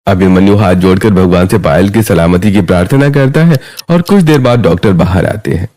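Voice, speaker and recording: A man's voice: sits low, a little fast, mellow. A man narrating a scripted moral story as its storyteller.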